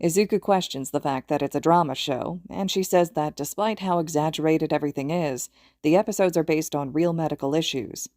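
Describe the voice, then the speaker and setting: A woman's voice: low voice, a little fast, dark tone, no room sound, clean studio sound. A woman reading a story aloud as a scripted audiobook narration. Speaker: podfic narrator.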